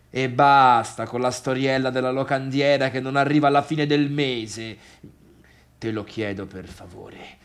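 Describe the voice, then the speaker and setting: An actor playing an awkward, needy, wounded man in a theatrical monologue, with a Tuscan accent and hesitant, repetitive phrasing. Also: mid-range voice. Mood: bitter, pleading. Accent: Tuscan Italian. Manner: hesitant, stammering repetitions and questions. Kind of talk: theatrical monologue, halting one-sided dialogue.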